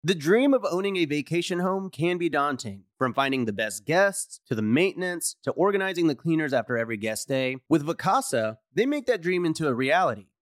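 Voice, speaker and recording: A man, a podcast host, reading a scripted ad with a smooth, lively delivery. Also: on the high side, a little fast, few pauses, dry recording, clean studio sound. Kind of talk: scripted ad read.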